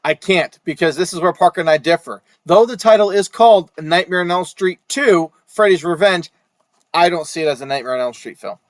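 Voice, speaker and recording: A young man co-hosting a casual podcast from home, relaxed, with small stammers and frequent laughs. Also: sits high, brisk, dry recording. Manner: relaxed, stammers on first words, laughs often. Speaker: podcast co-host. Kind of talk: casual chat. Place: home room.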